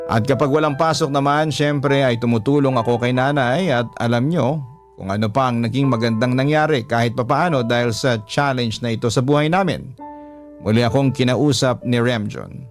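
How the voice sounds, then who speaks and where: A man working as a radio storyteller, narrating a listener's letter in the first person in a dramatic style. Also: mid-range voice.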